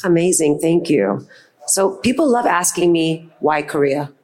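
A woman's voice: low-pitched, expressive, measured pace, a little mellow. A woman curator moderating a live panel on an event stage, speaking in an effusive, enthusiastic way.

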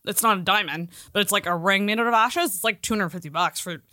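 A woman's voice: mid-range voice, quick pace, sharp tone, no room sound, studio quality. A woman co-hosting a podcast in casual comedic chat, speaking in a frank, bawdy way. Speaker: podcast co-host.

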